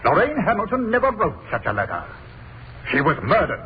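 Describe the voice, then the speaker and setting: A man's voice: sits high, wildly swooping pitch, fairly quick. A man playing a sarcastic, theatrical prosecutor declaiming rhetorically to a jury in a 1930s radio drama. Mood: accusing. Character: scornful, theatrical Crown prosecutor. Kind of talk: 1930s radio acting, courtroom oratory. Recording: muffled, hissy 1930s radio recording.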